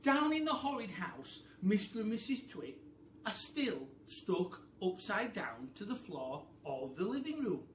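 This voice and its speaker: A man's voice: high-pitched, very animated, unhurried. A man telling a story to children like a primary teacher, informal, with chatty asides straight to the listeners. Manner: informal, addressing children directly. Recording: roomy, noisy, distant microphone.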